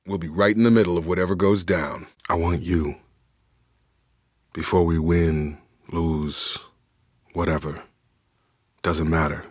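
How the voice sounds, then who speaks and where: A man's voice: deep voice, breathy edge, dry recording, studio quality. A man playing a loyal, guarded soldier in a video game, speaking in clipped, matter-of-fact military phrases. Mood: tender, intimate. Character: steady, loyal male soldier, guarded and plainspoken. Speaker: video game squad member. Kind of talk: scripted video game dialogue.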